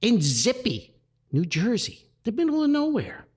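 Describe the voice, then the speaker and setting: Animated folksy man storytelling. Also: very high-pitched, measured pace, slightly rough. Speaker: podcast host, author. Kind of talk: podcast talk and story reading.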